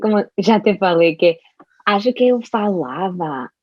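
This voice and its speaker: Woman speaking careful, slow learner's Portuguese. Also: medium pitch, lively intonation, no echo, dull audio. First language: Spanish. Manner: slow, careful speech with frequent restarts and laughs. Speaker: interview guest, Portuguese learner. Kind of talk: casual conversational interview.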